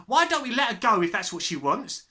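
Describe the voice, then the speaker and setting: A man voicing a brash, indifferent storybook mother, loud, blunt and bossy. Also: very high-pitched, sing-song, brisk, pitched above the performer's own voice, exaggerated voice. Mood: dismissive.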